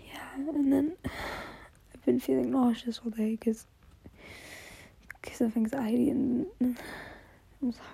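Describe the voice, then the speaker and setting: Young woman, super breathy n soft mellow voice, anxious, nearly crying, keeps stammering and apologizing. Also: on the high side, steady tone, no room sound. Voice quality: breathy, whispery voice. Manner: halting, apologetic, stammering repetitions. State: anxious, tearful, close to crying. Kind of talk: intimate whispered monologue, audio roleplay.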